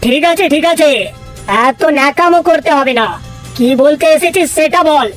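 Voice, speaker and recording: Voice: sits high, normal speed, natural voice. A performer voicing a grumpy, suspicious, lordly male lion in a children's cartoon, curt and scolding. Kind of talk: children's fairy-tale cartoon dubbing. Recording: music under the voice.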